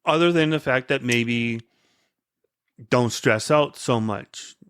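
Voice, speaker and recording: A man's voice: medium pitch, slow, fairly bright tone, smooth. A man, a podcast guest, talking earnestly in a casual conversation, as if giving a short speech, with an occasional stutter. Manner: stutters at times.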